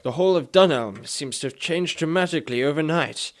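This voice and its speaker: An actor playing a haughty, bewildered Renaissance knight in a comic radio play, declaiming in archaic, self-important speech. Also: male voice, a little high, animated, brisk. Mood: puzzled, earnest. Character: pompous Renaissance knight, bewildered time traveller, haughty and literal-minded.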